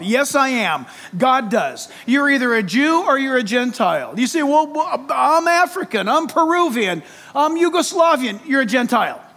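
Very high-voiced man preaching. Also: a bit bright. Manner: emphatic, conversational, rhetorical questions and direct address. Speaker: pastor preaching to congregation. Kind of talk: expository sermon.

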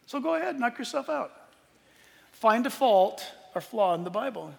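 A man giving an informal, earnest preaching talk to an audience as a Bible teacher, emphatic, with dramatic pauses. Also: very high voice, very animated, vocal fry. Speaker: Bible teacher and tour leader. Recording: long hall reverb.

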